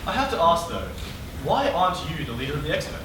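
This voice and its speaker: A man playing an eager, self-absorbed superhero in a comic stage play, casual and flattering. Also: on the high side, quick pace. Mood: friendly. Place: stage in a hall, filmed from the audience. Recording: very noisy echoey hall from far off.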